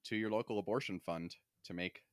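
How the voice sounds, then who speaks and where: A man's voice: on the low side, a little fast, no echo, studio quality. A man hosting a podcast in casual, wry banter, joking and swearing freely as he talks. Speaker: podcast host.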